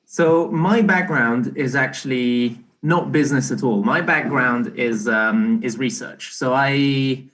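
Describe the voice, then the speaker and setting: Young guy getting interviewed, chatty but halting, restarts his sentences, normal pitch. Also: fairly bright tone. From Belgian-born, partly raised in Barbados. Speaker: interview guest, startup co-founder. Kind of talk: casual explanatory interview.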